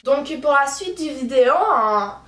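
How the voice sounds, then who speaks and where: A prim, mock-refined young woman with an affected France-French accent, as an 18-year-old vlogger puts her on. The tone is high and overdone, and it sounds roomy and a little distant from the mic. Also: expressive, unhurried, background noise. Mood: mock-posh. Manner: exaggerated, mock-refined teasing.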